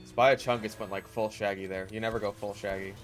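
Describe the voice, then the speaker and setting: A man giving joking gameplay commentary, sarcastic, swearing often, swinging between low deadpan mumbles and loud outbursts. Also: quick pace, soft background music. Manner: swears often, riffs on memes and cartoon bits. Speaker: gaming channel host.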